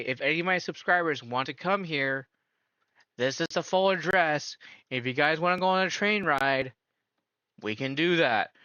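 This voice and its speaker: Bright, dry-sounding streamer man. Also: on the high side, clean tone, no echo, highs cut off. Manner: incredulous, asks rapid repeated questions. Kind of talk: casual live-stream reaction.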